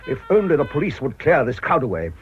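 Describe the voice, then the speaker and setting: A man's voice: mid-range voice, very animated, fast talker, soft background music, natural voice. An actor playing a worried, earnest middle-aged clergyman in a radio drama. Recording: dull lo-fi radio recording.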